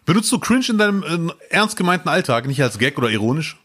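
A man in his thirties co-hosting a casual podcast, telling stories in a lively, jokey way. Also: fairly high pitch, fast, husky. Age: 30s. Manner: animated storyteller, lively and jokey. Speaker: podcast co-host.

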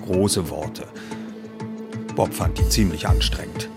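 Deep-voiced man narrating over music. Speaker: audiobook narrator. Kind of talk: scripted narration of a youth detective story. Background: music under the reading.

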